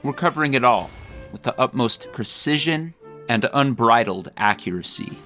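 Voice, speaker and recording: A man's voice: medium pitch, animated, normal speed, sharp tone. Mock-serious man narrating over faint music. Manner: mock-serious, exaggerated, theatrical emphasis. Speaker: video creator and narrator. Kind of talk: scripted satirical video commentary.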